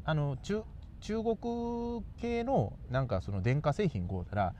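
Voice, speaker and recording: A man's voice: a little high, big pitch swings, unhurried, darkish tone. A man with a Kansai Japanese accent chatting casually and comically as a radio co-host, mixing polite speech with dialect. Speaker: radio talk show co-host. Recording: dull lo-fi recording with faint noise.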